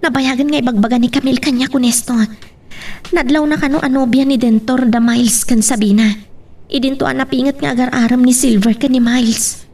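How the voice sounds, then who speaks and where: Apologetic office staffer in a radio drama, pretty high voice. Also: female voice, fairly even intonation, a little fast, a little mellow. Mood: anxious, apologetic. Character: apologetic female office employee reporting staff trouble. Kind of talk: Ilocano radio drama acting.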